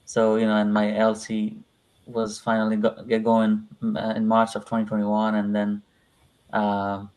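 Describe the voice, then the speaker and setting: A man's voice: fairly low pitch, monotone, normal speed, a little mellow, clean tone, poor audio. A young man from Nepal, a guest in a live interview, talking casually and hesitantly with many fillers. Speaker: interview guest, visa client.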